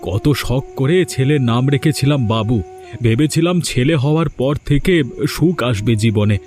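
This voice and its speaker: A man's voice: mid-range voice, moderate pace, darkish tone, faint music behind, natural voice. A man with a West Bengal accent voicing a poor, life-weary village father in a cartoon, lamenting melodramatically. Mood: despairing. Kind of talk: cartoon voice acting.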